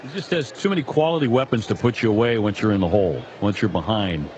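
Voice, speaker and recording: A man's voice: on the low side, fast, darkish tone. A man giving conversational live commentary on a baseball broadcast. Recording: slightly dull audio, faint ballpark noise. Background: outdoor stadium ambience.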